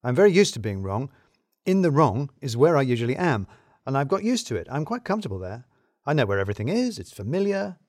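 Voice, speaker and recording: A British man narrates a comic story in the first person, with a dry, wry delivery. His pitch moves around a great deal as he leans on key phrases, and the sound is close and dry. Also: very animated, quick pace, darkish tone, no room sound. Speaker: narrator of an audio fiction serial.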